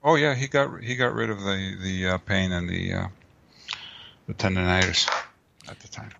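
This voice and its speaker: A middle-aged man gives long, wandering interview answers, full of fillers and restarts. His low voice has a gravelly fry and a bright, forceful edge. The audio is dull and lo-fi, like a remote call. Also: steady tone, normal speed. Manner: rambling, many restarts and fillers. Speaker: guest author and martial artist.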